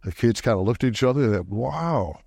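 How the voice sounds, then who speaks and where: A male preacher delivers an animated sermon in a medium-pitched voice. He often jumps his voice upward for emphasis. The sound is dry and close, with little room echo. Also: brisk, gravelly. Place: church. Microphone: microphone.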